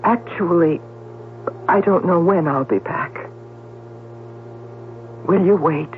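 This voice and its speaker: A woman's voice: lowish voice, takes it slowly, slight background noise. An actress playing a nervous, guilt-ridden woman hiding a crime in a 1970s radio drama. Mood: resigned. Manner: pleading, anxious, breaking into defiance. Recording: tinny, humming old radio broadcast.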